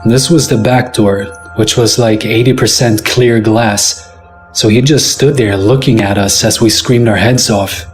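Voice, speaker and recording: A man's voice: fairly low pitch, fairly even intonation, unhurried. A man in his twenties narrating a first-person scary story in a conversational, informal way. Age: 20s. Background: background music.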